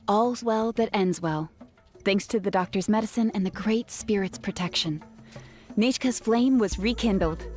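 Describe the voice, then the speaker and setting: A woman playing an earnest, grateful gem artisan in a video game, telling things in a soft-hearted way. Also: mid-range voice, close to an everyday voice.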